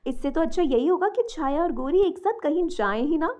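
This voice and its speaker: Woman doing a fast, scornful female relative. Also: high-pitched, lively intonation, a little mellow. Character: spiteful, scornful female relative taunting a girl.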